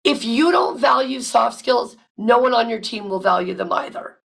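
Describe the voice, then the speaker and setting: A woman hosting a podcast, talking with loud, emphatic energy and repeating words when she stresses a point. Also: a little high, lively intonation, moderate pace, vocal fry, breathy edge. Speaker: podcast host. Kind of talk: casual podcast monologue.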